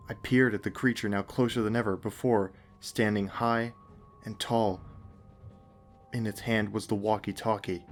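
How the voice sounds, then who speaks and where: A man reading a scripted first-person horror story as narrator. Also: on the low side. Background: faint music.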